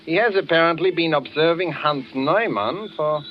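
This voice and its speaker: An actor playing a jovial, slyly menacing official in a 1940s radio drama, with a chuckling, unhurried manner. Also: male voice, on the high side, natural voice. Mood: slyly ominous. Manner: chuckling, unhurried, tags questions with eh and no. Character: jovial, food-loving, slyly menacing German official Herr Müller. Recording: muffled, noisy 1940s radio recording.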